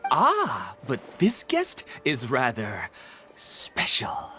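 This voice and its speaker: A formal, coy game attendant. Her voice is strikingly low and unhurried, with big dramatic swings in intonation. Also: huge pitch swings, over music, heavily acted. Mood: polite, coy. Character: courteous, secretive event attendant announcing a guest. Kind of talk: video game character dialogue.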